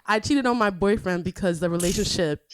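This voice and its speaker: A woman's voice: medium pitch, fairly quick, no echo. A woman hosting a casual podcast, asking questions in a playful, easygoing way. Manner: playful, laughs often. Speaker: podcast host.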